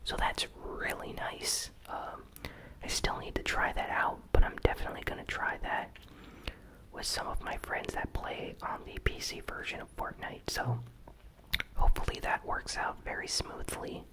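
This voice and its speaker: A host speaks entirely in a breathy whisper, presenting gaming news as ASMR. The delivery is casual and relaxed, with informal phrasing throughout. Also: moderate pace, low-quality recording.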